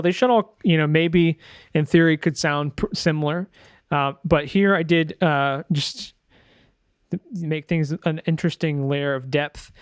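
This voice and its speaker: A man's voice: on the high side, clean tone. A man giving a casual screen-share tutorial as a sound designer, thinking aloud informally and correcting himself as he goes.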